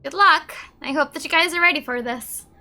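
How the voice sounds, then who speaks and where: A woman streaming a game with casual, excitable, giggly reactions. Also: high-pitched, brisk.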